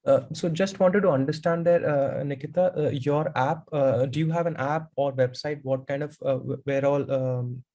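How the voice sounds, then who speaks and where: A man speaking as a guest presenter in an online webinar, explaining step by step and filling pauses with "uh" over a video call. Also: medium pitch, fairly even intonation, warm tone, muffled recording. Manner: explains step by step, many "uh" fillers.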